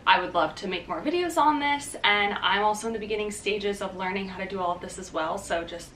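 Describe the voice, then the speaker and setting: A woman's voice: medium pitch, a little fast, a bit bright, recorded from a distance, a little hiss or hum. A young woman vlogging casually to the camera, chatty and upbeat, with lots of "um" and "like". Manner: chatty and upbeat, many fillers like "um" and "like". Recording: echoey room, voice a bit off-mic.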